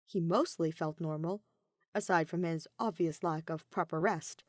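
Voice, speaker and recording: A woman's voice: deep voice, normal speed, no room sound, studio quality. A woman reading a story aloud as scripted narration, like an audiobook. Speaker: fan fiction audiobook reader.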